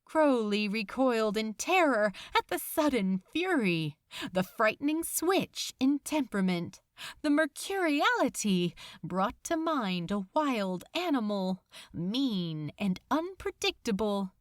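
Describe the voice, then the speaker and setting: A woman reading fiction aloud as a dramatic, expressive narrator, deliberate and suspenseful. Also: fairly high pitch, no echo, clean studio sound.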